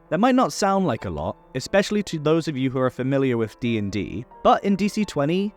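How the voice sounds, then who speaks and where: A man presenting a tabletop game rules guide in a methodical, scripted explainer style. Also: on the high side. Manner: clear, methodical instructional delivery. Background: background music.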